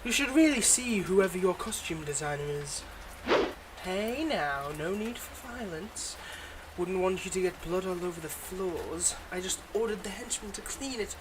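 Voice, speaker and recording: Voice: medium pitch, lively intonation, normal speed, background noise, natural voice. A performer voicing a smug, playfully exasperated supervillain in a scripted ASMR audio roleplay, mocking and dramatic. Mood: smug, teasing. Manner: mocking, dramatic, addresses an unheard hero listener.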